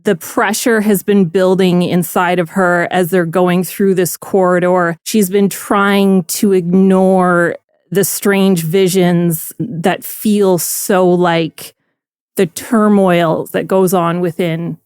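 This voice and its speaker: A woman playing a tabletop game, narrating her character's actions in a descriptive, measured and dramatic manner. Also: fairly low pitch, steady tone, a little mellow, smooth, no room sound, studio quality.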